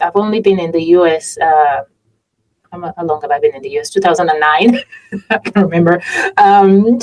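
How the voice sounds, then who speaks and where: A woman's voice: mid-range voice, darkish tone, distant mic, low-quality recording. A woman from Nigeria whose first language is Yoruba, hosting an interview and reflecting conversationally. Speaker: host. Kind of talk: casual interview talk.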